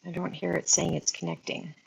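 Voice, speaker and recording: A woman's voice: on the low side, little pitch movement, brisk, vocal fry, darkish tone, slightly rough, poor audio. A sixty-year-old woman hosting a casual beauty live stream, chatting and rambling to her viewers, talking into an earphone mic linked to her phone. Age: 60s. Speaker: beauty livestream host.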